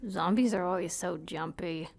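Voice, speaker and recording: Woman acting a deadpan ghost schoolgirl. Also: fairly low pitch, normal speed, creaky. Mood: deadpan, needling. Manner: deadpan, cynical. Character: cynical ghost schoolgirl, baby-faced, long dead, bluntly gloomy. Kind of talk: audio drama acting.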